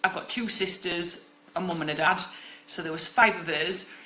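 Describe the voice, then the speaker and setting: A young woman, an acting coach, teaching in a loud, projecting and direct way on a live stream. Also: on the low side, normal speed, echoey room, low-quality recording.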